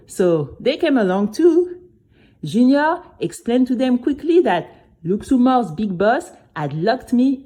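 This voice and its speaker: A woman's voice: fairly high pitch, full character voice. A woman playing a spirited young girl who recounts her adventure to friends in a chatty, dramatic first-person audio story. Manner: animated, chatty, addresses listeners directly.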